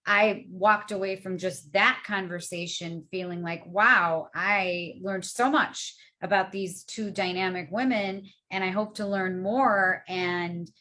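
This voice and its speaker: A woman's voice: mid-range voice, steady tone, slow pace, hardly any pauses, pushed and bright. A woman on a committee panel talking in a casual, reflective way. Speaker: committee member. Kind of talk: panel discussion.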